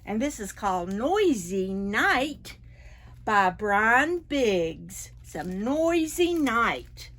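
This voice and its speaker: A woman reading picture books aloud to preschoolers, with an animated manner and playful questions to the children. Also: medium pitch, drawn-out words. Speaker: librarian storyteller for preschoolers. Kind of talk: expressive picture-book read-aloud.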